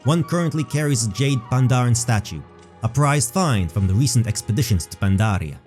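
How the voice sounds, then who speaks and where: Casting: male storyteller, medium pitch, measured and dramatic scripted fantasy narration. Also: lively intonation, darkish tone, soft background music.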